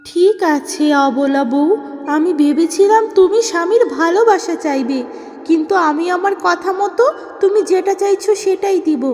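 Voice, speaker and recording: A woman's voice: very high voice, a little slow, a little mellow, big-room sound, faint music behind. A woman voicing a kindly river fairy in a fairy-tale cartoon, solemn and consoling as she grants wishes.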